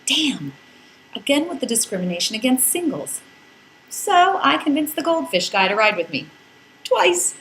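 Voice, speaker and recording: Animated woman telling a wry story. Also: sits high, normal speed, a little hiss or hum, rough recording. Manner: theatrical, playful, wry delivery with dramatic emphasis. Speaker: podcast narrator reading a blog. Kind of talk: first-person comedic storytelling, scripted narration.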